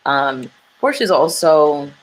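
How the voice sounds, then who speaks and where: Low-voiced woman giving blunt takes on reality tv, lots of likes and ums. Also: big pitch swings, slow pace, a little hiss or hum, low-quality recording. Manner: opinionated, frank, with many fillers. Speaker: panelist. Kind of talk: casual chat about a reality show.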